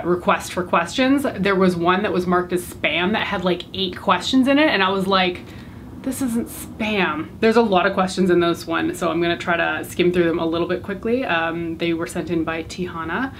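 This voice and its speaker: A woman's voice: lowish voice, a little hiss or hum. A Canadian woman in her thirties, chatting casually to camera as a beauty vlogger, with lots of fillers and rambling asides. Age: 30s. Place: bright home room indoors.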